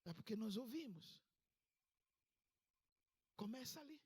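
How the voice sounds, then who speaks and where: A man in his fifties from Ghana, preaching a sermon in a church service with a Ghanaian accent, with emphatic rises in pitch. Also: expressive, unhurried, darkish tone, breathy edge. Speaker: pastor.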